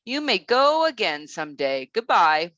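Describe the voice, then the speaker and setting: Lady doing a big friendly grasshopper guy in a kids tale, animated, hearty, polite. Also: fairly low pitch, a little slow, no room sound, dull audio. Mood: pleased. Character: big green grasshopper, jolly obliging gentleman.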